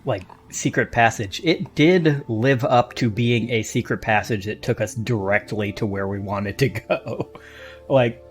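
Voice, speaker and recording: A man's voice: lowish voice, a little slow, soft background music. A man hosting a casual panel chat about a tabletop game he plays in. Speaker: podcast host and player in a tabletop game.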